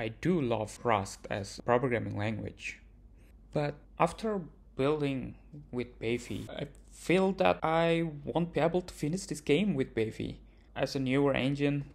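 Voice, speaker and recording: A man's voice: mid-range voice, vocal fry. A young man narrating his own game devlog in a plain, earnest way, with some non-native phrasing. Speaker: indie game developer.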